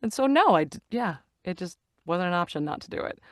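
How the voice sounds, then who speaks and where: A woman in her fifties, an actor and narrator, talking in an animated way over a video call and repeating phrases for emphasis. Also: on the low side, fairly quick, no echo, studio quality. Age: 50s. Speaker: guest, actor and audiobook narrator. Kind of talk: casual interview conversation.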